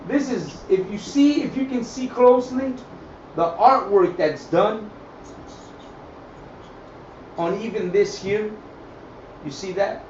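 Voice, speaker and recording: A man's voice: high-pitched, slow pace, pauses often, a little mellow. A man giving an improvised scripture and history lesson, emphatic, repeating phrases and checking in with listeners. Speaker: teacher of a religious group. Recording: echoey, distant mic, dull, faint noise.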